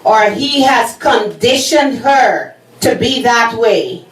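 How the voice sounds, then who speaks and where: A woman's voice: fairly high pitch, lively intonation, slow, roomy sound, distant mic, rough recording. A woman hosting a talk show, asking probing questions. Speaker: host.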